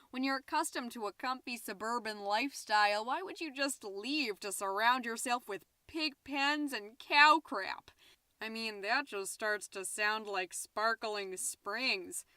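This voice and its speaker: A woman's voice: fairly high pitch, slow pace, bright, forceful tone, no room sound. A woman playing a gossipy, petty, easily flustered middle-aged American suburban mom, chattily hosting her podcast in a scripted comedic monologue. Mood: rambling, distracted. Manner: chatty, indignant, with muttered asides. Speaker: podcast host.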